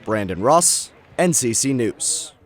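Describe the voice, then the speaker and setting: A man's voice: mid-range voice, very animated, slow. A man in his twenties delivering sports broadcast narration and commentary as a reporter and announcer. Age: 20s.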